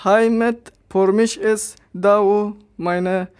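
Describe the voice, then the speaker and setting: A man from Afghanistan, a Pashto speaker, introducing himself haltingly with fillers. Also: high voice, fairly even intonation, a little mellow, slight background noise.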